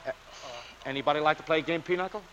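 High-pitched actor as brash wisecracking sailor. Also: male voice, fast, highs cut off, natural voice. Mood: brash, eager. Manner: wisecracking, slangy. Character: brash, girl-crazy, comic young sailor. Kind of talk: 1940s radio drama acting. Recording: old radio recording with crowd noise.

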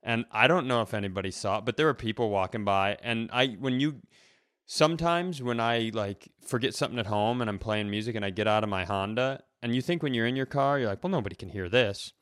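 Casting: male podcast co-host, average pitch, very dry sound, halting and rambling comedic chat. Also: a bit bright, clear, resonant tone, no echo. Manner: rambling, halting, self-deprecating.

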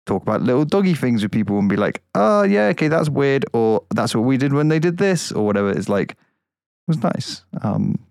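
A man's voice: mid-range voice, very animated, brisk, gravelly, a little mellow, no echo, studio quality. A man co-hosting a podcast, talking in a rambling casual way with frequent laughs. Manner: rambling, laughs often, many fillers. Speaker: podcast co-host.

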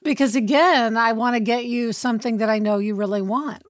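A woman with a raspy voice from illness, co-hosting a podcast in casual chat and acting out quoted lines. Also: on the high side, steady tone, fairly quick, no echo. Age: adult with a child. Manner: chatty, acts out quoted dialogue. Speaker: podcast co-host.

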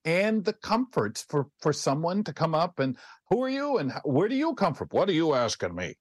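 A man's voice: a little high, sing-song, moderate pace, dry recording, studio quality. A middle-aged or older man with a Canadian accent on a casual radio panel, rambling and musing, slipping into bits of imagined dialogue. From Ontario, Canada. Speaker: talk show host.

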